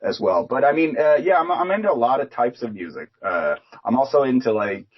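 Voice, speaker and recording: A man's voice: mid-range voice, sing-song, brisk. A young adult man from Philadelphia, a musician guest chatting casually and rambling with lots of fillers and restarts. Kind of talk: casual interview chat. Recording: dull lo-fi remote audio.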